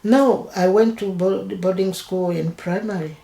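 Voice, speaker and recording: Older Ugandan woman, low gravelly voice, hesitant, slow, recorded in an echoey room; oral history interviewee. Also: warm tone. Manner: hesitant, halting, with many restarts.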